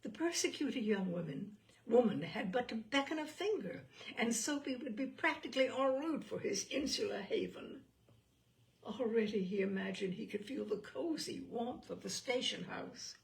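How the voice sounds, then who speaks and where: A woman reading classic short fiction aloud with theatrical, deliberate, expressive storytelling. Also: a little high, vocal fry. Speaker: story reader.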